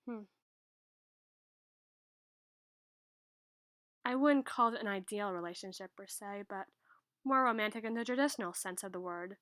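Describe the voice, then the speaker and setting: Woman acting a bright-voiced bookish tsundere schoolgirl. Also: on the high side, no room sound, studio quality, lightly acted. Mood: soft, wistful. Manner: scolding, huffy, flustered, softening when bookish. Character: tsundere high school girl tutor, bookish, irritable, secretly fond. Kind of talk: ASMR roleplay, acted monologue to the listener.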